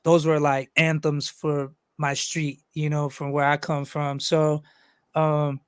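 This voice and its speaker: A man's voice: on the high side, flat delivery, takes it slowly, clear, resonant tone, dry recording. A young man from Los Angeles, a rapper talking loosely in a casual interview, rambling and swearing freely. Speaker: guest rapper.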